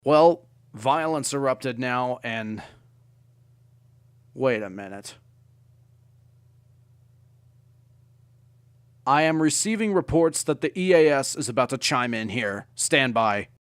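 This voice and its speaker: A man's voice: medium pitch, lively intonation, measured pace, clear, resonant tone, no room sound, studio quality, lightly acted. A man playing an urgent breaking-news anchor in an amateur mock broadcast, with a formal announcer manner. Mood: grave.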